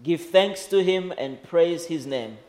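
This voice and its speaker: A man from Malawi, a guest pastor, leading a church service in an earnest, halting way with frequent fillers. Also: a little high, expressive, heavy background noise.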